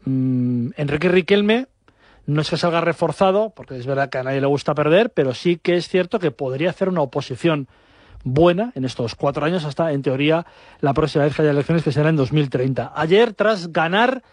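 A man's voice: a little high, a little fast, a little mellow, no room sound. A man hosting a sports talk show, with fluent presenting and a few hesitations.

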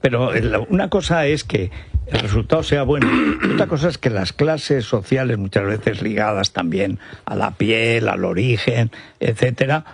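A man's voice: on the low side, normal speed, creaky, background noise. A mature man with a Spanish accent from Spain, giving opinions in a panel discussion.

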